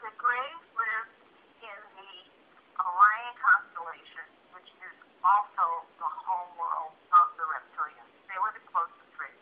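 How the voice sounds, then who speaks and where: A woman giving an unscripted interview over the phone as the guest, speaking earnestly and haltingly, repeating words for emphasis. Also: fairly low pitch. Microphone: telephone. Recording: tinny, muffled phone line.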